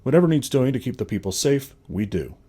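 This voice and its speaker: An actor playing a steady, dutiful space lawman in a video game, plainspoken and matter-of-fact, with dry humor and a husky voice. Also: medium pitch, fairly quick. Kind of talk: video game dialogue acting.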